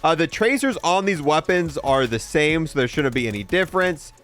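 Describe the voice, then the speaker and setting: A man's voice: on the high side, animated, normal speed, a bit bright. A man giving casual, offhand, wry gameplay commentary as a video game reviewer.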